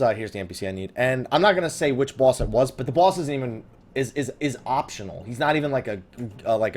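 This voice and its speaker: Animated man rambling over game music. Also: mid-range voice. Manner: informal, self-correcting, trailing into side thoughts. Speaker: gaming video host. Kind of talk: casual rambling let's play commentary.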